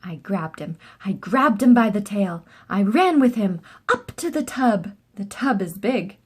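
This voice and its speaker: A woman reading a picture book aloud to young children as an expressive storyteller. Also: mid-range voice, a little slow, breathy edge.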